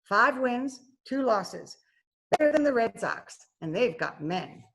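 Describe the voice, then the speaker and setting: A woman's voice: fairly high pitch, very animated, slow pace, creaky, a bit bright, exaggerated voice, rough recording. An actress playing a brash, joking, aging cleaning woman in an old-time radio detective drama. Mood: boastful. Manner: brassy, joking banter. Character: brash, wisecracking aging scrubwoman, tough and sporty. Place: at home, recorded remotely.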